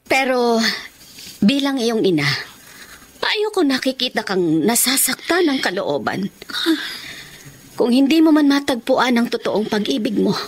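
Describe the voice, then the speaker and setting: A woman's voice: fairly high pitch, very animated, a little slow, long pauses, breathy edge, heavily acted. A woman playing a caring, worried middle-aged mother in a radio drama, tender and coaxing, with a Filipino accent. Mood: comforting.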